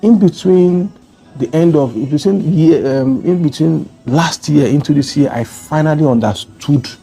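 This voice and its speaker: A man's voice: a little high, moderate pace, gravelly, dark tone, husky, soft background music, rough recording. A man from Nigeria telling his travel story as an interview guest, earnest and rambling with many restarts. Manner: meandering, restarts often, earnest. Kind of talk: casual interview conversation.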